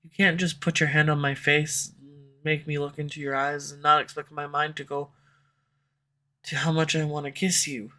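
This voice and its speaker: A woman's voice: sits low, level tone, moderate pace, sharp tone. A woman voicing a flustered tsundere female villain in love, in an audio roleplay for a listener, defensive at first and then tender. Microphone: USB condenser microphone at home.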